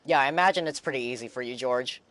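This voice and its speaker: Casual male game streamer, medium pitch. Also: fast talker. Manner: informal, slangy, chatting to viewers. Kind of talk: casual live reaction chat. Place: streaming over game audio with faint music.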